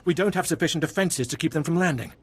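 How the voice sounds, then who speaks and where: An actor playing a gruff, pragmatic warship captain in a video game, firm and commanding. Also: male voice, fairly high pitch, fast talker. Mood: urgent, commanding.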